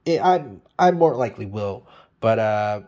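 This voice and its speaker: A man's voice: on the low side, expressive, normal speed, dark tone. A man talking casually and off the cuff as a hobbyist repairer, rambling and blunt, swearing freely. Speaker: hobbyist radio repairer and video maker. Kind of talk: casual, unscripted repair update.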